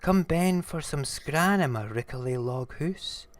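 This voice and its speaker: A man's voice: on the high side, lively intonation, slow pace, pitched above the performer's own voice. A man voicing a sly, inviting but easily spooked snake in a storybook, with a Scottish accent. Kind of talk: storybook character reading.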